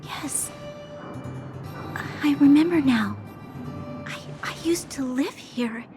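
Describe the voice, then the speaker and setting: A woman's voice: sits high, takes it slowly. A woman playing an earnest, self-doubting young noblewoman in a video game, speaking formally with a hesitant, introspective manner. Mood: stunned realization. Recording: music behind the voice.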